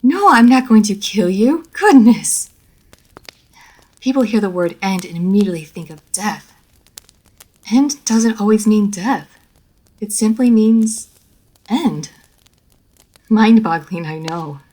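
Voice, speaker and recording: A woman playing an immortal vampire lady of a manor in an audio roleplay, formal and measured, poised and teasing, speaking straight to the listener. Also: mid-range voice, expressive, darkish tone, low-quality recording. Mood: amused, exasperated. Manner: formal, measured, old-fashioned genteel phrasing. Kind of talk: scripted roleplay audio story, addressed to the listener.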